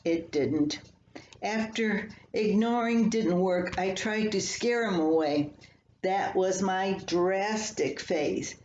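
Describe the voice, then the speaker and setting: An older woman reading her own humorous personal column aloud in a folksy, unhurried storytelling manner. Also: mid-range voice, darkish tone. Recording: echoey room, slightly dull, faint hiss.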